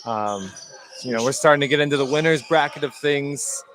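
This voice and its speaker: A man giving measured, conversational color commentary on a live basketball game. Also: medium pitch, noisy background. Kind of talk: unscripted sports analysis.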